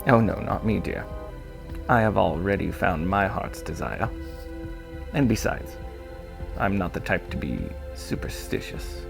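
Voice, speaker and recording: A man voicing a kindly old male shopkeeper in an audiobook, with courteous, old-fashioned, gently formal phrasing. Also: sits low, unhurried, vocal fry, pauses often, over music, exaggerated voice. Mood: storytelling, mysterious. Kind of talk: audiobook character voice.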